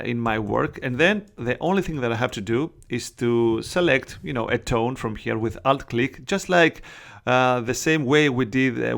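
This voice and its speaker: A man from Greece with a Greek accent, a non-native English speaker, hosting a casual software tutorial, chatty and full of "uh" and "you know" fillers. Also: mid-range voice, vocal fry. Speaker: Photoshop tutorial host. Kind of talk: casual screen-recorded tutorial.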